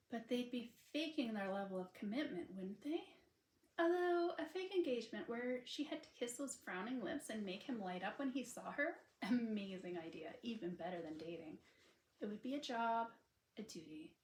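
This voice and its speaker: A woman author reading her own romance novel aloud in an expressive, informal storytelling style. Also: medium pitch, a little hiss or hum. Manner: informal asides to listeners between passages. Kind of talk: expressive audiobook narration of a sweet romance. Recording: unedited roomy home recording.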